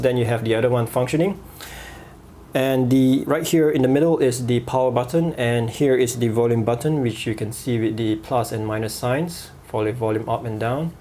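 A man reviewing a walkie-talkie at a desk, pausing often with fillers and restarts. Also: medium pitch, dark tone, a little hiss or hum. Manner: halting, many fillers and restarts. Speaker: gadget reviewer. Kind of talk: product review voice-over.

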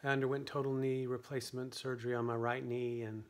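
An older man with a hoarse, weakened voice, talking earnestly and quietly to the camera about a worship song he wrote. He is seated at home at a piano, speaking into a stand microphone. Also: medium pitch, level tone, fast talker. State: hoarse, voice weakened after surgery. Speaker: worship songwriter teaching a song. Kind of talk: earnest spoken talk to camera. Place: home room with a piano, indoors. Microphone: stand microphone in front of him.

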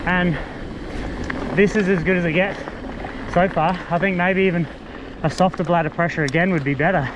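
Aussie guy chatting casually while riding his mtb, highish voice, lots of wind noise on the action cam. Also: steady tone, normal speed, pauses often. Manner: laid-back, fragmented musing while riding. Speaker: mountain bike vlogger. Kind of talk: casual ride-along gear commentary. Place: outdoors on a bushland trail, riding a bike. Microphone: action camera worn while riding. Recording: muffled action-camera audio, loud wind and trail noise.

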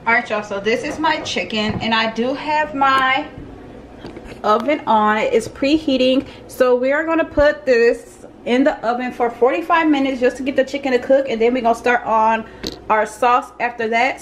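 A woman's voice: on the high side, a little hiss or hum. Chatty young woman in her kitchen. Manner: chatty, keeps addressing viewers as y'all. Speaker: cooking vlogger. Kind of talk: casual cooking chat. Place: home kitchen, indoors. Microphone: camera on tripod and phone.

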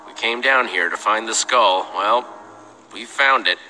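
A man's voice: on the low side, measured pace, sharp tone, long reverb tail, slight background noise, rough recording. An actor playing a pragmatic, wry young male adventurer and leader in a video game. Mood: uneasy, urgent.

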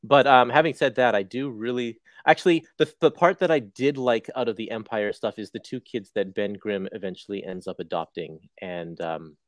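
A man guesting on a casual panel chat over a video call, easygoing and quick to laugh. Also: lowish voice, no echo.

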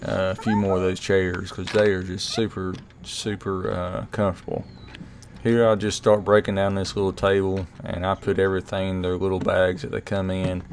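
A middle-aged man giving a relaxed, rambling product review, full of fillers and restarts. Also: low-pitched, normal speed, a little hiss or hum. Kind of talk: casual voice-over review. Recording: music playing underneath.

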